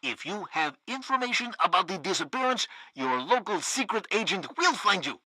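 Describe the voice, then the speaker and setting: Game radio news host, smug and flippant, very lively, close dry sound. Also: female voice, mid-range voice, normal speed, dry recording. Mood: smug announcing. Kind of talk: satirical scripted radio news.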